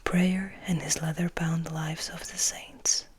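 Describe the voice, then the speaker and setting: ASMR reader: woman, lowish pitch, very breathy whisper, gentle unhurried storytelling. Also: little pitch movement. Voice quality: breathy, mostly unvoiced whisper. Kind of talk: soft whispered ASMR audiobook reading.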